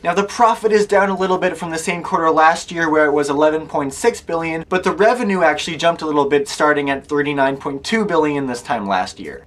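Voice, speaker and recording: A man presenting daily tech news in an upbeat, casual, enthusiastic style, speaking directly to viewers as the show's host. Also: a little high. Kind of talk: upbeat scripted tech news delivery to camera.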